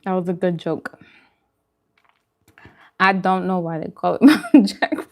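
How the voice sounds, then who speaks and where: A woman's voice: on the low side, animated, a little slow. A woman chatting casually and in a friendly way as she hosts a food livestream, answering viewers' comments.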